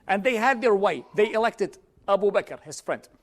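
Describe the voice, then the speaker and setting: A man from Iraq with a high voice teaches a church class. He explains step by step and answers questions from the room directly. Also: lively intonation, moderate pace. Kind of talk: lecture with audience questions.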